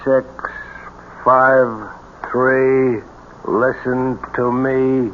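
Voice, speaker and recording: An actor playing a broken, brainwashed soldier in a radio drama, repeating things as if in a trance. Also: male voice, medium pitch, level tone. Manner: slow, trance-like repetition. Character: dazed, brainwashed male soldier, broken and mindless. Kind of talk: old-time radio drama acting. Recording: tinny, noisy old radio broadcast.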